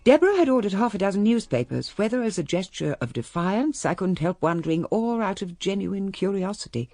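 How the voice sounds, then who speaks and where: Composed upper-class English lady, expressive pitch. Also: dry recording. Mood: reflective. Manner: cool, measured, politely guarded. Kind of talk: radio drama, first-person narration and dialogue.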